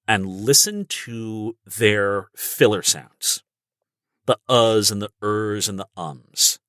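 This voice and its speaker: Bright-voiced man explaining, close and dry. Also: fairly low pitch, dry recording. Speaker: guest voice performer and coach. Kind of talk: explanatory podcast talk.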